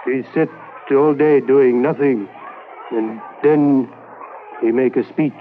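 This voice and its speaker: An old, kindly fisherman from the reserve played by a man in a 1950s radio play, who talks slowly in plain, broken English. The broadcast sounds narrow and phone-like, with a faint hiss. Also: medium pitch, fairly even intonation, close to an everyday voice. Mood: friendly, confiding. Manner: slow, simple broken English.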